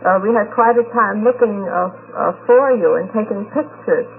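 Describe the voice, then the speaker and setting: A woman on a radio panel telling anecdotes conversationally, in a polite, halting manner. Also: medium pitch. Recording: tinny, very noisy 1950s radio recording.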